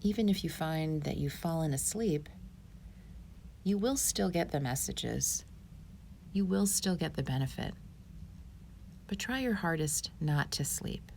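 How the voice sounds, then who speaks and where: Calm low voiced lady leading a guided meditation, bit of gravelly fry, soothing. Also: a little slow, long pauses, a little hiss or hum. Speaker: yoga teacher.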